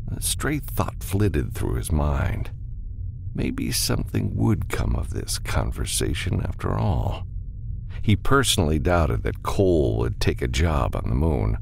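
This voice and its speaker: A male audiobook narrator with a very deep, creaky voice reads fiction from a script. His intonation is lively, moving freely up and down. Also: a little hiss or hum.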